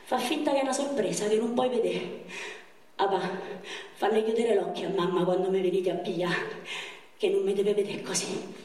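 A woman's voice: medium pitch, normal speed, darkish tone, close to an everyday voice. A woman on stage playing a wounded, pleading young man, with a Roman accent and raw, colloquial, repetitive speech. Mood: frightened, pleading. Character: wounded young man of thirty-one, pleading, wistful, foul-mouthed. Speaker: stage actor. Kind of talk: theatrical monologue in dialect. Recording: echoey theatre, distant microphone.

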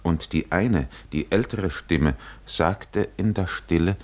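A man narrating a 1950s German radio drama as a measured, solemn literary storyteller. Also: low-pitched, darkish tone. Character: solemn male storyteller of an epic.

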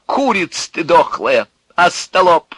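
A man voicing a furious eccentric in a dramatized story, shouting and sarcastic, ranting with spiteful, defiant glee. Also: on the high side, sing-song, slow pace, slightly rough, no echo, voice pushed up, heavily acted. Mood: mocking anger.

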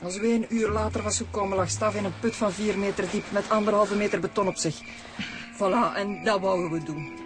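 A man's voice: sits high, steady tone, brisk, lightly acted. An actor playing an unruffled, matter-of-fact male accomplice to a killing in a radio drama. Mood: calm, matter-of-fact. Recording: music behind.

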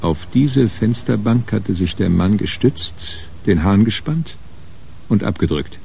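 An actor playing a sober narrator, reading scripted narration in a radio crime drama. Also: male voice, fairly low pitch, lively intonation, a little slow, gravelly, dark tone, a little hiss or hum.

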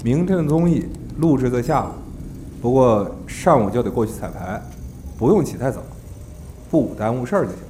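An actor playing a brisk, exasperated but supportive male talent agent in a Chinese audio drama. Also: medium pitch, lively intonation, dark tone, reverberant, in a large hall, a little hiss or hum, lightly acted. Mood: businesslike.

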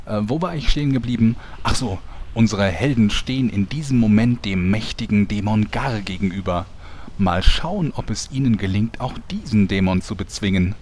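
A man's voice: lowish voice, moderate pace, darkish tone, a little hiss or hum, natural voice. A man playing a mocking, easily sidetracked narrator of a comic fantasy audio drama. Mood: sheepish, theatrical. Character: apologetic, mocking story narrator. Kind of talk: mock-epic scripted narration.

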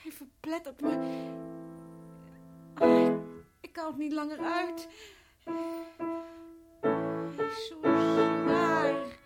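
Radio horror: fragile, haunted mother, high voice, halting and breathy, loud piano music behind. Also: expressive, heavily acted. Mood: anxious, breathless. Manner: halting, repetitive, often breathy and whispering. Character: fragile, terrified mother slipping into hallucinating madness. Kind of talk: radio horror drama acting.

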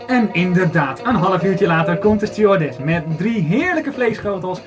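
A man narrates a homemade radio play in a scripted storytelling style. His voice sits fairly high, and music plays clearly along with him on the amateur recording. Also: lively intonation, normal speed, lo-fi sound.

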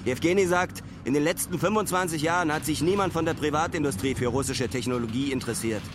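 A man's voice: fairly high pitch, fast talker, background noise, natural voice. A man playing a weary traveler who gives a diary-like radio drama account from a decayed launch site, in German. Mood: wary, observant. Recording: loud outdoor machinery and vehicle ambience.

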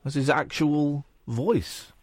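A man hosting a late-night radio phone-in, joking with callers in a teasing, deadpan way. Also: slow, breathy edge, no echo. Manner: teasing, deadpan jokes, swings from low mock-gloom to high protest. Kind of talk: late-night call-in banter.

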